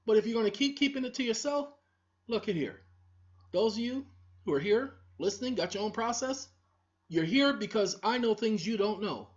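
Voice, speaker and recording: A woman's voice: fairly high pitch, lively intonation, moderate pace, darkish tone. A woman hosting her own videos, lecturing her viewers in a preachy, scolding and repetitive monologue. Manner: preachy, scolding, repetitive, talks straight to the audience. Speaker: online video host. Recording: dull lo-fi recording.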